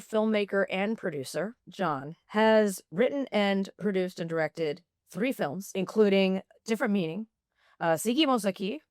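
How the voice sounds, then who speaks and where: A woman's voice: mid-range voice, no room sound, studio quality. A woman hosting an interview podcast, introducing her guest and chatting in an encouraging way. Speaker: podcast host.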